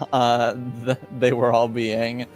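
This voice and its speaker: A man casually explaining things to his players as game master, halting and repeating words, with some swearing. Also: medium pitch, completely flat delivery, faint music behind, poor audio. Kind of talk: casual explanatory group chat.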